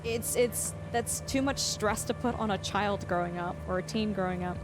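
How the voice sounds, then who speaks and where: A woman's voice: medium pitch, moderate pace. Chatty woman streaming over music. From Canada. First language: English. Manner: rambling, restarts often, laughs easily. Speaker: livestreamer. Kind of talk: casual chat with viewers while playing.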